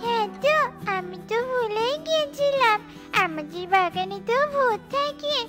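A woman's voice: extremely high pitch, expressive, measured pace, music in the background. A woman voicing an eager little child eagle in a children's cartoon, coaxing in a childlike way.

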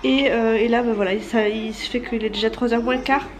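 Casting: woman in her 30s, congested cold voice, higher pitch, rambling home-vlog chatter to a handheld camera, faint background noise. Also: fairly even intonation, brisk, rough recording. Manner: chatty, rambling, many restarts and fillers. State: stuffy nose from a cold. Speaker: family vlogger. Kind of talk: casual vlog chat to camera. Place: apartment, indoors. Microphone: handheld camera at arm's length.

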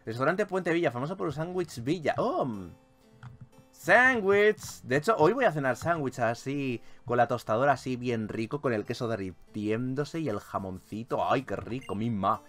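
A man's voice: mid-range voice, normal speed. A man with a Spain accent giving playful, exclamatory gameplay commentary as a video host. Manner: animated, exclamatory, jokey. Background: video game music.

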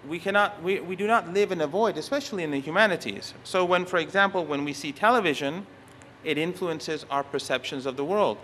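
A man whose first language is Persian speaks with a bright, forceful tone set a little higher than usual. He delivers a lecture at a conference, stopping often to restart phrases and leaning hard on key words. Faint noise from the hall sits behind him. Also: a little fast. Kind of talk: academic conference talk.

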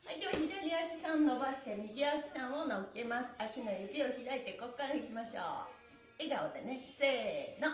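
A woman's voice: fairly high pitch, expressive, moderate pace, soft background music. A woman in later life leading a live-streamed stretching class with cheerful, upbeat cues. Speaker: stretch and mime instructor. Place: small room with a floor mat. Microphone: camera a few feet away. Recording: echoey room.

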